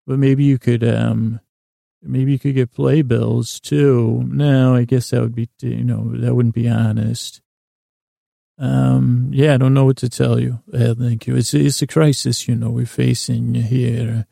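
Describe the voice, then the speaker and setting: A man hosting a bedtime podcast in a rambling, whimsical way, halting and repeating himself, close to the mic in a small closet. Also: mid-range voice, flat delivery, creaky, dark tone, dry recording. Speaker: podcast host. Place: small closet used for recording. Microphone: close mic in a small recording closet.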